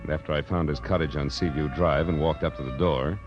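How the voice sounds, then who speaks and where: A man's voice: very deep voice, steady tone, a little fast. An American man playing a wry, hard-boiled private detective in a 1940s radio drama, with clipped, sardonic delivery. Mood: wry narrating. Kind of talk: 1940s radio detective drama, first-person narration and dialogue. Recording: muffled old radio recording with music.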